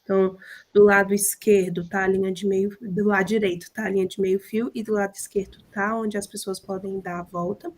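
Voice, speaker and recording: A woman with a hoarse voice presents to her class. She explains technical drawings one at a time in a steady way. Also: medium pitch, brisk. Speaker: architecture student.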